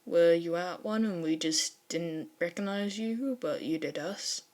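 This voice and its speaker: Woman as a chill deadpan teen boy, lowered voice, casual and dry, fanfic podfic. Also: measured pace, lightly acted. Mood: dry, curious. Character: laid-back, dry, casually sweary teenage boy student.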